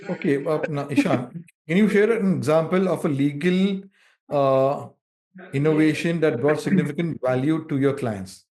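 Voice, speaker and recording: A man hosting an interview, putting questions to his guest with frequent pauses and fillers. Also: on the high side, a little mellow, poor audio. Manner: hesitant, many fillers between phrases. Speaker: interview host.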